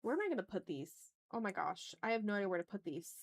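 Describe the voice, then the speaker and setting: A woman with a mid-pitched voice hosts a casual livestream. She rambles informally, half talking to herself and half to viewers, with the odd mild swear. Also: lively intonation, quick pace, gravelly. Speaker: art livestream host. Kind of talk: casual rambling chat while drawing.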